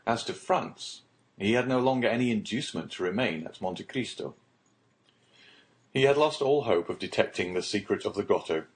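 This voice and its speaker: A man with a fairly low voice narrates a classic novel. His delivery is formal and measured. It is scripted literary storytelling for an audiobook. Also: expressive.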